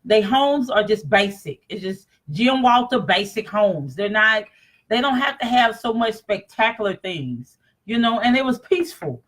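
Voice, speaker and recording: A woman's voice: medium pitch, lively intonation, moderate pace, a bit bright, rough recording. A woman chatting casually about a trip, confident and talking straight to her viewers. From East Texas. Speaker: vlogger. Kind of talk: casual travel chat.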